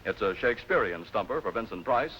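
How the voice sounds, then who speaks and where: A man's voice: fairly low pitch, animated, fast, lo-fi sound, slight background noise. An American man announcing a 1950s television show in scripted broadcast style. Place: off-stage in TV studio.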